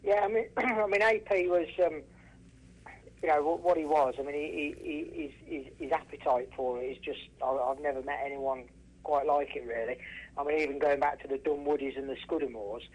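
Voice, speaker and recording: Male interview guest on a phone line, slightly high voice, casual, halting, repeats for emphasis. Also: fairly even intonation. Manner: halting, restarts often, emphatic repetition. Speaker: racehorse trainer and former jockey, interview guest. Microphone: telephone. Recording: telephone line, radio-style call.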